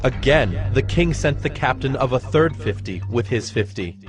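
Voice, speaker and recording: Casting: male narrator, medium pitch, formal and measured scripture reading, clear music bed behind. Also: animated.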